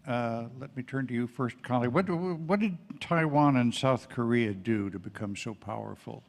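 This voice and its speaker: A man moderates a panel, talking informally. His voice is mid-range in pitch, and long hall reverb surrounds it. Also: normal speed, gravelly. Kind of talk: spontaneous panel questioning.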